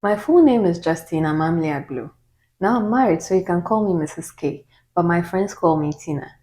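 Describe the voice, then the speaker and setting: Ghanaian woman YouTuber, deep voice, calm and measured, chatty Q&A vlog. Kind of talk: casual get-to-know-me Q&A.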